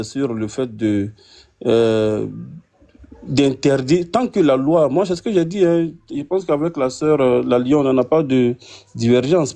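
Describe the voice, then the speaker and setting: Man, West African French accent, soft dark timbre, medium pitch, halting, circling explanations, debating imam. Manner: halting, circling explanations with frequent tag words. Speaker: imam taking part in a debate. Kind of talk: impromptu debate.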